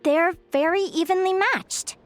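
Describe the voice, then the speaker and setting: A youthful fae girl for a video game. Her voice is high, chatty and expressive, full of curious, upbeat eagerness. Light music plays behind her. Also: a little slow. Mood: cheerful. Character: young bird fae girl, curious, upbeat explorer and scholar. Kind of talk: video game voice acting, dialogue.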